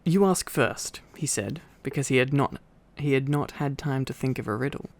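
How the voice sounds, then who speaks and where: A man reading a bedtime story in a sleepy, informal ASMR style, with relaxed rambling asides. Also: mid-range voice, brisk.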